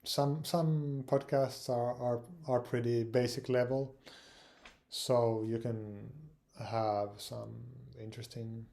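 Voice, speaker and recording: A man from Finland giving casual advice on language learning, speaking English with frequent pauses, fillers and repeated words. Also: mid-range voice, steady tone, creaky, warm tone. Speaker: language learning channel host.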